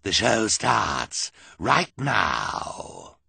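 Male narrator as theatrical storybook villain, gloating, very slow showman delivery, bright forceful tone. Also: on the low side, wildly swooping pitch, vocal fry, husky, dry recording, heavily acted. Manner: slow, drawn-out announcing.

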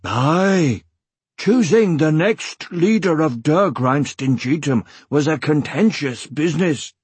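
Audiobook dwarf chief: gruff, husky, hearty, formal old-world phrasing, animated delivery. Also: male voice, fairly high pitch, slow pace, no echo, voice pushed up, heavily acted. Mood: hearty, frank. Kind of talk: audiobook character voice.

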